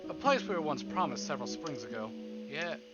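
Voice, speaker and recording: Male streamer, slightly high register, theatrical visual-novel narration with livelier asides, music underneath. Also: expressive, brisk, lo-fi sound. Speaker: game streamer. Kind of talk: dramatic read-aloud of a visual novel. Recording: music behind the voice. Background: game background music.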